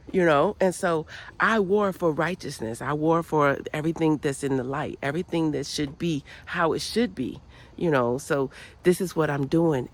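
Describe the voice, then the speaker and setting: A woman hosting her own channel, nasal from a cold, giving an earnest, preachy motivational talk full of repeated phrases and "you know"s. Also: deep voice. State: has a cold, sounds nasal. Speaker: channel host. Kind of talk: motivational spiritual vlog talk.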